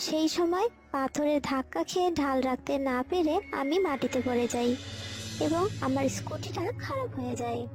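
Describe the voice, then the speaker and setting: Casting: sweet, grateful young witch wife for a cartoon, played by a woman, very high voice, loud music behind. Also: normal speed, close to an everyday voice. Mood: grateful, polite. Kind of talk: scripted cartoon dialogue.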